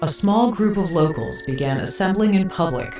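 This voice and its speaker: A woman playing a solemn archivist, narrating a fictional audio encyclopedia in a measured, formal documentary manner. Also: lowish voice, animated, darkish tone. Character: solemn female archivist narrating an eerie small-town mystery. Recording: reverberant, distant, under music. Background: music.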